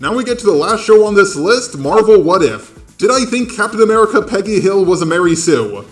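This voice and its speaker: Male commentary host, slightly high voice, sarcastic and exasperated, echoey sound over music. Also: sing-song, fairly quick. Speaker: host of a video commentary channel. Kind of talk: opinionated film and TV commentary. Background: background music.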